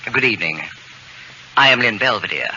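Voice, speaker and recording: Haughty, fussy male babysitter, crisp condescension, very forceful tone, old radio comedy, muffled recording. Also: medium pitch, expressive, a little fast, slight background noise. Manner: haughty, precise, formal, condescending. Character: pompous, fussy, self-declared genius male babysitter who dislikes children. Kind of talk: 1940s American radio comedy acting.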